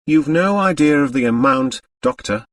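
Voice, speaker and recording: Serene thirty-something woman for an audiobook, read by a man: calm, detached, tolerant, in a dry recording. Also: on the high side, normal speed, no room sound, distant mic, voice pushed up. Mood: thoughtful.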